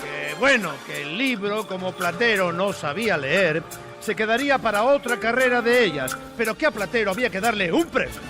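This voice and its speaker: An actor narrating a radio drama as a gentle storyteller recalling children at play. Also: medium pitch, big pitch swings, brisk, lightly acted. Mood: lyrical. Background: music.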